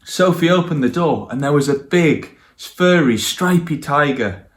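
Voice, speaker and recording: A man's voice: a little high, lively intonation, a little slow. A man reading stories for young children and speaking to them directly as a guest storyteller.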